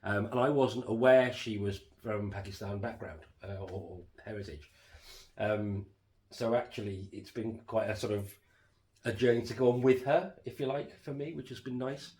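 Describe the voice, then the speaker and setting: A man co-hosting a casual home podcast, rambling with lots of fillers and restarts, his voice going hoarse. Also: lowish voice, moderate pace, a little mellow, poor audio. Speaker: podcast co-host reviewing a TV series. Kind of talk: casual chat.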